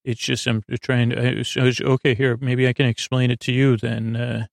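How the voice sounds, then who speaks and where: Creaky-voiced man, flat lulling bedtime ramble. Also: mid-range voice, no echo, studio quality. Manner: meandering, halting, trails off into digressions; lulling, soothing. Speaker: podcast host. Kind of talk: rambling bedtime monologue to put listeners to sleep.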